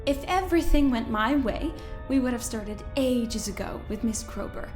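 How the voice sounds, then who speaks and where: Woman playing a high-voiced, condescending woman scientist. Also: animated, darkish tone, close to an everyday voice. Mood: smooth condescension. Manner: measured, formal, pointed. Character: cool, condescending, controlling woman scientist, a doctor. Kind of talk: audio drama acting. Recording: music under the voice.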